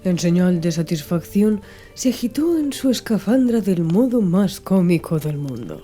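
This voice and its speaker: A woman's voice: on the low side, sing-song, moderate pace, mellow. A woman reading a classic adventure novel as a scripted audiobook narration. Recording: music bed under the voice. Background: background music.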